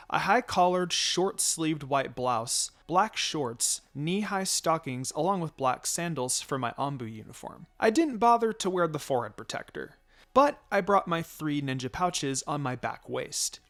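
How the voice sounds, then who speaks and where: A man reading fan fiction aloud as a dramatic, expressive first-person narrator, acting out the dialogue. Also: on the high side.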